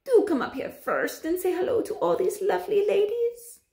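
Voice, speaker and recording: A high, shrill and cruel witch villainess, drawing her words out with theatrical, imperious relish. The pitch swoops widely, and the room adds some echo. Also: huge pitch swings, moderate pace, mellow, full character voice. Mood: syrupy, coaxing. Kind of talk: children's storybook villain.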